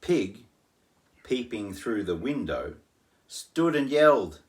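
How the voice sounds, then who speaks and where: A middle-aged man reads a rhyming children's story at an unhurried pace. His pitch swoops widely, and he adds dramatic pauses and playful asides. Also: very animated. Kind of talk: animated read-aloud of children's rhyming story. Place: small home living room, in an armchair.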